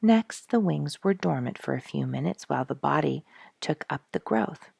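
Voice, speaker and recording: A woman narrating a storybook from a script, expressive, with dramatic emphasis. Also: deep voice, moderate pace, creaky, husky, no room sound, clean studio sound. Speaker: storyteller, show host.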